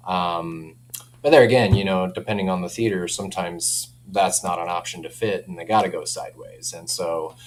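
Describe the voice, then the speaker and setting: A man's voice: deep voice, steady tone, normal speed, slight background noise. A man speaking as an audio company representative in a casual, informal product chat.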